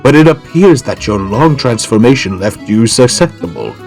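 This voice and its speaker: A man's voice: medium pitch, lively intonation, moderate pace, a little mellow, soft background music, close to an everyday voice. A man playing a learned, grave older professor with a formal, scholarly manner in a fan audio drama. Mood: fascinated.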